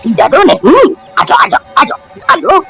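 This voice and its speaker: A man playing an excitable village tea seller in a cartoon story, theatrical, exclaiming and repeating his words. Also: very high-pitched, unhurried, gravelly, heavily acted. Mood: reassuring.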